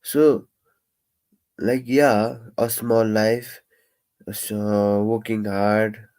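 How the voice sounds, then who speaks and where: A young man who stammers, talking in a casual, reflective live-stream monologue with many pauses, fillers and restarts. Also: on the low side, a little mellow.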